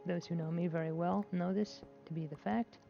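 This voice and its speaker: Woman gaming vlogger, lowish gravelly tone, rambling and candid, lo-fi mic. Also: steady tone, normal speed, faint music behind. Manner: rambling, hesitant, with many restarts and filler words; candid and self-deprecating. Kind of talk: casual chat while playing a game. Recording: dull lo-fi mic with faint noise.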